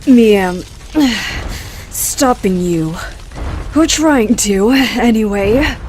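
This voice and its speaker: An actress playing a steady, watchful heroic warrior woman with deliberate, slightly hesitant phrasing. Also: fairly high pitch. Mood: firm. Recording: clear background noise.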